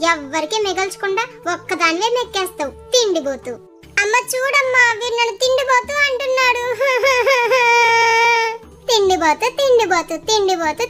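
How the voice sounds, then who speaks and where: Very high-pitched squabbling cartoon child. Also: female voice. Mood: teasing, whiny. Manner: excitable, teasing, singsong. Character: squabbling young children, playful brother and sister. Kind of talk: children's cartoon story acting.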